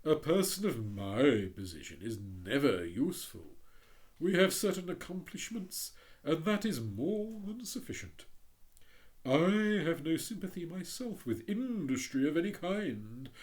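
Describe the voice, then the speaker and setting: A storyteller voicing a haughty, conceited male firework rocket, slow and grandly drawn out. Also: medium pitch, sing-song, darkish tone, pitched above the performer's own voice. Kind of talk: storybook reading.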